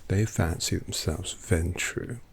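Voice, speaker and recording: A man voicing a sardonic old male vampire painter who drawls intimately in a gravelly whisper, as an ASMR roleplay. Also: deep voice, takes it slowly, a little hiss or hum, heavily acted. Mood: snide whisper.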